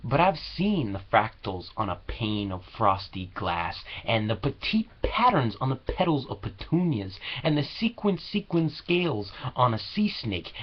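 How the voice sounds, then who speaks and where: A man reciting slam poetry with earnest, deliberate, dramatic phrasing, on a low-quality home recording. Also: husky, highs cut off. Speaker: slam poet.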